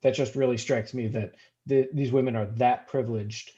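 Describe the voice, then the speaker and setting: Mellow man, measured, slightly muffled audio. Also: medium pitch, fairly even intonation. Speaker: discussion participant. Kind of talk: thoughtful commentary in a casual discussion. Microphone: video call microphone. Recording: slightly dull video call audio.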